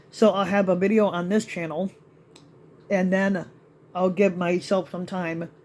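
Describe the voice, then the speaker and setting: A man ranting in a rambling vlog to his channel, with many restarts and fillers, his voice still slightly raspy after being sick. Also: high-pitched, darkish tone. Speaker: YouTube vlogger. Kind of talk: rambling rant to camera.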